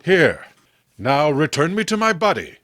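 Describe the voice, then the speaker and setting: A man voicing a desperate, horrified man trapped inside a doll, halting and melodramatic, in a horror comic. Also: a little high, sing-song, a bit bright, no echo, clean studio sound. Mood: demanding. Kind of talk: horror comic read-along acting.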